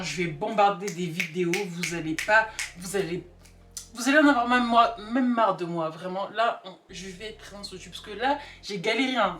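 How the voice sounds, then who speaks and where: A woman gives her opinions in a casual, joking vlog style. She is animated and emphatic, often repeating words for punch. Her mid-pitched voice is picked up from a distance in an echoey room, with music playing. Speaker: vlogger. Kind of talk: casual comedic opinion chat to camera.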